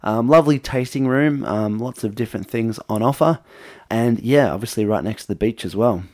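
A man's voice: mid-range voice, moderate pace, darkish tone. A man hosting a podcast, talking in a casual, conversational way as he introduces it. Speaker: podcast host.

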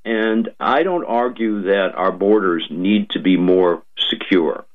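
A man, a lawmaker guest on a radio show, speaking slowly with many ums and uhs. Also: deep voice. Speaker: state legislator guest. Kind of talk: radio interview, policy talk. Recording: muffled lo-fi radio audio.

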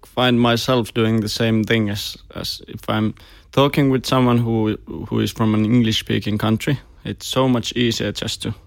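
A man hosting a casual podcast interview, chatty and a little halting. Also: lowish voice, little pitch movement, gravelly, no room sound, studio quality. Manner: chatty, slightly halting, repeats words. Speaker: podcast host.

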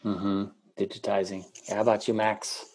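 A man hosting a panel discussion over a video call, guiding guests with brief, relaxed prompts. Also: fairly low pitch, normal speed.

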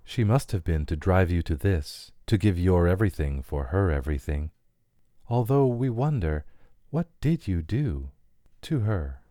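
A man voicing an inhuman company representative, a menacing and gracious bargainer who speaks in slow, insinuating sales patter in an audio story. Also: deep voice, lively intonation, mellow, dry recording, studio quality. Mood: taunting. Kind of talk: dramatic audio fiction reading.

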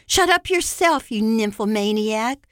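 A reader performs a distraught, drunken woman from a story, defiant and emphatic. Her raised voice runs high, and the sound is dry. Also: animated, moderate pace, no echo, lightly acted.

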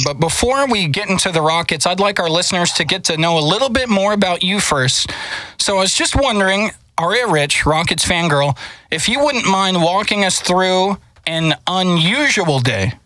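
High, bright-voiced male show host. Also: animated, normal speed, no echo, poor audio. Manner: upbeat, announcer-like introductions. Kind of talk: scripted show interview.

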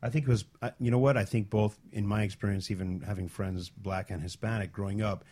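A man's voice: on the low side, fairly even intonation, fast, mellow. A man chatting casually on a talk show panel, with a wry way of talking. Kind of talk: casual chat.

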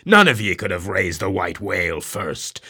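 A man voicing an obsessed, commanding old sea captain who shouts orders across the deck. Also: low-pitched, sing-song, normal speed, creaky, husky, exaggerated voice. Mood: possessive.

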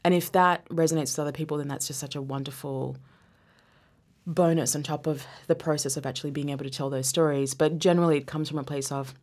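A woman's voice: deep voice, steady tone, fast talker, darkish tone. A woman who grew up in Zambia, talking reflectively as a guest in a casual interview, often restarting her sentences. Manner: reflective, many restarts and laughs.

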